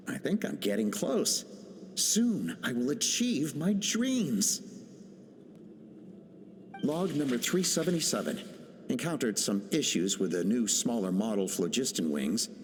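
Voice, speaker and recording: A man's voice: mid-range voice, a little hiss or hum. A man playing an ambitious male inventor who reads out his research journal with driven intent. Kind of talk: video game dialogue. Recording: long hall reverb.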